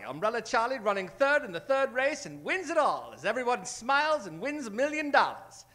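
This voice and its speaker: A man playing an excitable 1940s newsreel announcer with a breezy, declamatory delivery. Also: very high voice, exaggerated voice.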